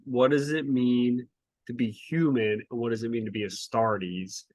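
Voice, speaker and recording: Animated man ranting on a podcast. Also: mid-range voice, unhurried, dry recording. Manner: swears casually. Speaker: podcast co-host. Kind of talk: casual book review chat.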